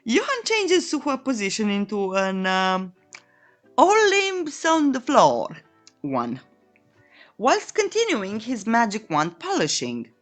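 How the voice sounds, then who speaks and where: A woman narrates a playful recap with a coy, tongue-in-cheek delivery. Her voice sits a little high and swings very widely in pitch. Faint music plays behind the voice-over. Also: wildly swooping pitch, slow pace. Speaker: narrator of comic recap videos.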